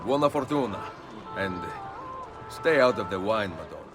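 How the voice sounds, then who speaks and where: Male actor as seasoned Italian assassin for game cutscenes; lowish voice, measured, dry authority. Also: animated, over loud music, natural voice. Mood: calm authority. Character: calm, commanding male Italian assassin, middle-aged. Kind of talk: dramatic video game cutscene acting.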